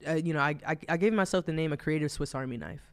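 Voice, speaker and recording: A man in his thirties with a New Jersey accent, co-hosting a podcast in relaxed, rambling chat full of fillers and laughs. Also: fairly high pitch, quick pace. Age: 30s. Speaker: podcast co-host. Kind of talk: casual chat.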